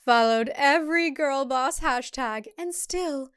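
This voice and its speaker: A woman voicing a chirpy, saccharine female cult leader delivering a promotional pitch in a slow, exaggerated manner. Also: fairly bright tone, no room sound, studio quality. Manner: slow, exaggerated, sugary salesmanship.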